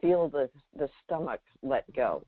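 A woman guiding callers conversationally as a spiritual teacher, speaking emphatically and haltingly, heard over a telephone. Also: very deep voice, expressive, dry recording. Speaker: spiritual teacher on a call-in show. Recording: thin, narrow phone-line sound.

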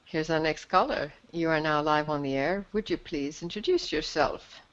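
A woman's voice: low-pitched, normal speed. A woman hosting a live call-in, facilitating in a reflective, conversational way over a phone-quality line.

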